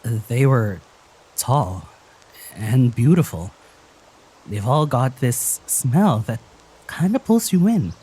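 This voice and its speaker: A woman playing a weary, wry young traveller, recounting things in a halting, husky voice in an audio drama. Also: extremely low pitch, sing-song, mellow, heavily acted, poor audio. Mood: subdued recounting.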